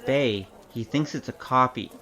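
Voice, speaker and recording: A woman's voice: a bass voice, measured pace, fairly bright tone. A woman acting in an audio drama as a wry, streetwise investigator with a cocky, teasing, casual manner. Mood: shrewd, brisk.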